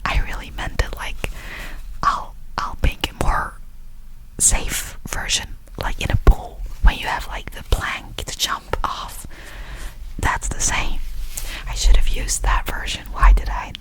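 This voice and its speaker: A woman's voice: slow, low-quality recording. A young woman vlogging in a whisper, rambling, giggly and self-conscious as she talks to her viewers. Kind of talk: whispered ASMR vlog.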